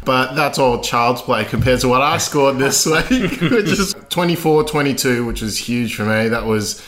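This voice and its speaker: A man's voice: mid-range voice, moderate pace, a bit bright. An Australian man hosting a sports podcast, chatty and upbeat, laughing as he talks. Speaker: podcast host. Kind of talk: casual podcast chat.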